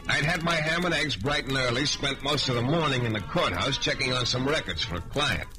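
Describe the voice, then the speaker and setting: A man's voice: medium pitch, expressive, a little fast, over music, lightly acted. A man playing a reckless, sardonic private detective in 1940s radio drama style, on an old transcription recording. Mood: wry narration. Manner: sardonic, colloquial, hard-boiled. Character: wry, reckless hard-boiled male private detective.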